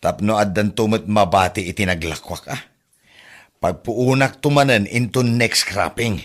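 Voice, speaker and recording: Man acting low-voiced modest Ilocano cousin. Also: normal speed, close to an everyday voice. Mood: friendly. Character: modest cousin hoping to repair his small house. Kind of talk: comedy radio drama acting.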